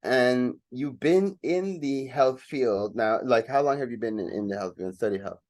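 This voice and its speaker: A man's voice: mid-range voice, moderate pace, a little mellow, dry recording. A male radio host in easygoing conversation, circling back and restarting his sentences as he goes. His voice sits in a middle register and sounds close and dry, with no room around it. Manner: rambling, many restarts and fillers. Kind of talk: casual radio interview.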